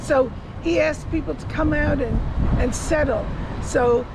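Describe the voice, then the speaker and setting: A woman's voice: high voice, unhurried, background noise. An older woman, a local resident, telling stories chattily about her neighbourhood during a casual street interview outdoors. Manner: chatty, storytelling, knowledgeable. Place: outdoors on a city hilltop.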